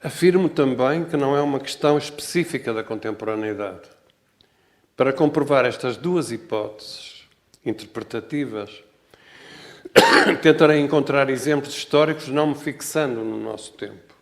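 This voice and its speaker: Older Portuguese man giving a halting public talk. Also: medium pitch. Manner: halting, self-correcting, with wry humor. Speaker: guest architect speaker. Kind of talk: public talk, reading a prepared text. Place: lecture hall.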